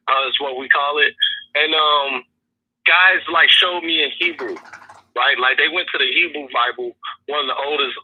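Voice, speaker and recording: A man calling in to a podcast over the phone, speaking earnestly and haltingly with many fillers. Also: medium pitch. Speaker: guest calling in. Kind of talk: casual podcast conversation. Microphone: phone call. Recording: tinny phone line, husky.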